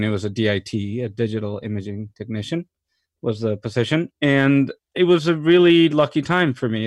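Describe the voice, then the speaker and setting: A man from Mexico speaks in an unhurried, conversational way, pausing with fillers and circling back mid-sentence. His voice sits at an ordinary middle pitch and is recorded close and dry, with no room sound. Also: no room sound. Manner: thoughtful, many fillers and restarts, enthusiastic. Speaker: cinematographer guest. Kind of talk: relaxed interview conversation.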